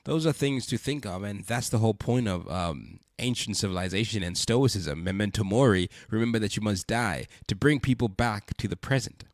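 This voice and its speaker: A man's voice: fairly low pitch, normal speed, few pauses, no echo, studio quality. Congested man with an earnest podcast voice. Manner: earnest, preachy, repeats rhetorical questions. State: congested from a cold. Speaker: podcast host. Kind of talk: reflective spoken monologue.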